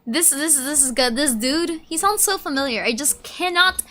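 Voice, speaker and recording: A woman streaming a game with casual, playful commentary, thinking out loud. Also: high voice, expressive, normal speed. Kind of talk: casual live gameplay commentary.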